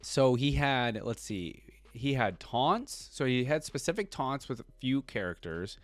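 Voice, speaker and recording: A man's voice: medium pitch, big pitch swings, unhurried, dry recording. A man hosting a reaction video, giving casual, conversational commentary that rambles with plenty of filler words.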